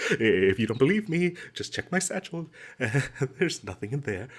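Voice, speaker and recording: A man playing a smooth-talking captured adventurer in a comedic fantasy audio roleplay, stammering and flattering with flowery politeness. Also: medium pitch, measured pace. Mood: indignant protest. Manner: hesitant, stammering, ingratiating, flowery and polite phrasing.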